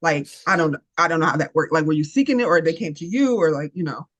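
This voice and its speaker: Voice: medium pitch, quick pace, fairly bright tone. An interviewer running a casual chat with a guest, talking as an eager fan and laughing often. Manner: enthusiastic fan, chatty with frequent laughs.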